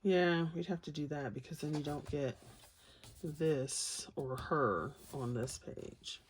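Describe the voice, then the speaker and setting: A woman's voice: low-pitched, slow, a little hiss or hum. A woman chatting casually and unscripted to viewers as she hosts a flip-through, in a rambling, folksy, playful way. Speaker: host of a colouring book flip-through. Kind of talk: casual unscripted chat to viewers over book pages.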